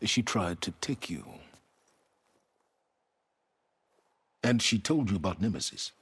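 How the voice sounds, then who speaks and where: A man playing a cold, calculating mastermind in a video game drama, measured, formal and condescending, with a low husky voice. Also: sing-song, exaggerated voice. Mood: measured, revealing. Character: cold, calculating, knowledge-hungry male mastermind, condescending and self-assured.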